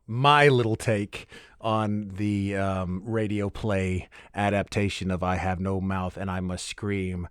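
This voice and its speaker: A man's voice: sits low, steady tone, takes it slowly, smooth, no echo, studio quality. A man hosting a podcast alone, chatting casually and rambling, sweary and self-deprecating with nerdy enthusiasm. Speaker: podcast host. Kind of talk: casual, rambling solo chat.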